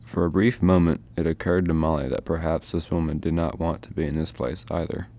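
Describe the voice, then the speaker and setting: A man playing a measured storybook narrator, reading scripted narration in a radio play. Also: a bass voice, little pitch movement, mellow.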